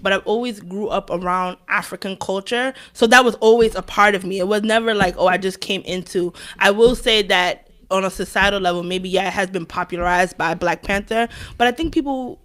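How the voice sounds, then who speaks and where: A female podcast guest with a medium-pitched voice. She speaks informally, telling stories in a casual interview style. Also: fairly quick, few pauses, a bit bright. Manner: informal, conversational. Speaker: podcast guest, fellow podcast host.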